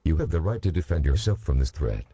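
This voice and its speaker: A man with an extremely deep, dark voice reads out a scripted emergency alert. His delivery is measured and formal, but the pitch moves about more than a flat drone would. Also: breathy edge, no room sound, lo-fi sound. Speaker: emergency broadcast announcer.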